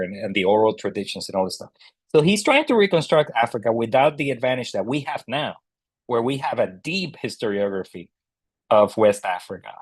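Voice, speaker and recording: A man's voice: on the low side, dry recording. A man speaking as a literary historian at an online book club, casual and digressive, with many fillers and restarts. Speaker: translator and scholar guest.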